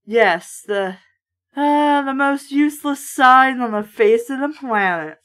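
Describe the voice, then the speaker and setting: High voiced woman doing a book reading, sarcastic and blunt, swears in her asides. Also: slow pace, a bit bright. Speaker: book reader and reviewer. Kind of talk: storybook reading with candid asides.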